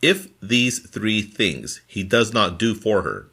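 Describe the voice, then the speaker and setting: A man reading scripture from a formal translation in a deliberate, phrase-by-phrase manner. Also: fairly low pitch, sing-song, creaky, bright, forceful tone. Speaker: scripture reader.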